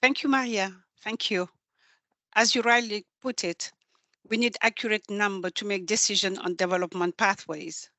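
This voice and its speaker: A woman's voice: mid-range voice, fairly even intonation, moderate pace, sharp tone, dry recording. Woman with a Senegalese accent, dry close sound. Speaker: presenter from a development organisation. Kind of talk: prepared webinar presentation, storytelling.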